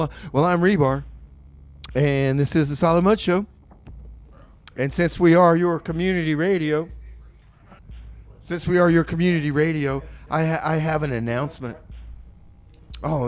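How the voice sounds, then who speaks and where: A man works as a community radio host. His voice sits a little high, and he announces in a loose, informal ramble, doubling back on phrases. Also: fairly even intonation, moderate pace, long pauses. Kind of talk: casual, rambling music-show announcing.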